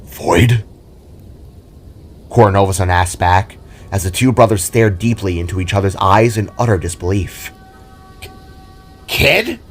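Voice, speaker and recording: A man's voice: deep voice, steady tone, a little fast, soft background music. A man narrating a scripted audio drama in a dramatic storytelling style.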